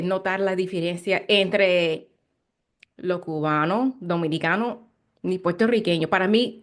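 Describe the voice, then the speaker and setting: A woman's voice: medium pitch, fairly bright tone. A woman with an American accent hosting a casual interview in Spanish as a second language, searching for words as she goes. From Chicago, United States. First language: English. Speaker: interviewer, host.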